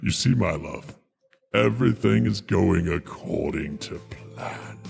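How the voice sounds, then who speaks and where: A very gravelly, husky mysterious being, acted by a man, drenched in long reverb. He is all-knowing and scheming, coaxing with calm condescension in grand, measured radio drama style. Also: deep voice, soft background music, exaggerated voice. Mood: scheming, satisfied.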